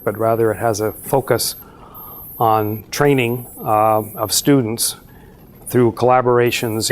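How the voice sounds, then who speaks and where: A middle-aged man, a university professor, giving a slide presentation to an audience in a measured, explanatory way with frequent filler pauses. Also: on the low side, a little hiss or hum. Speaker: university professor presenting a research exchange program.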